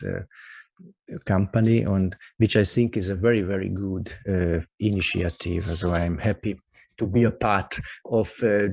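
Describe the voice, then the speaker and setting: A man with a Hungarian accent giving a webinar presentation over a video call, halting and full of "uh" and "also" fillers. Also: deep voice, gravelly, a little mellow, no echo. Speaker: guest expert presenter. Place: office with company logo behind him.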